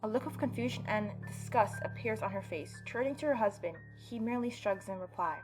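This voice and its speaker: Woman, mid-pitched, game narration, casual asides, playful, expressive, soft music underneath. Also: brisk, poor audio. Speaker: let's player reading and reacting. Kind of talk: gameplay narration and casual commentary.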